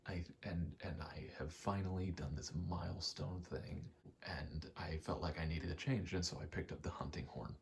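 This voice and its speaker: Super low creaky guy mumbling soft asmr gaming commentary, drifts into whispers, meanders, swears casually, bit of noise behind. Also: fairly even intonation. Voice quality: soft-spoken, breathy, often dropping to a whisper. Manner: halting, meandering, casually profane. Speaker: gaming video creator. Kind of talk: rambling ASMR game commentary.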